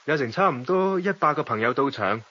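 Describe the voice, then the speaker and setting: An actor playing a suave, romantic bachelor, measured and indulgent, in a Hong Kong Cantonese radio drama. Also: male voice, medium pitch, lively intonation, sharp tone, muffled recording. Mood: amused. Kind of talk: radio drama dialogue and reflective narration.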